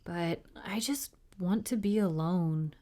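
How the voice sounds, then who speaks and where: A woman's voice: on the low side, lively intonation, slow pace, dark tone. A woman voicing a guarded, moody young schoolboy in a storybook reading, with a reluctant manner. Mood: withdrawn, sad. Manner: muttering, reluctant.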